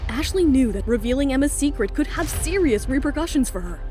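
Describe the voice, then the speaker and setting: A woman's voice: sits high, expressive, brisk, dark tone. A woman narrator reading a scripted dramatic story. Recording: music under the voice.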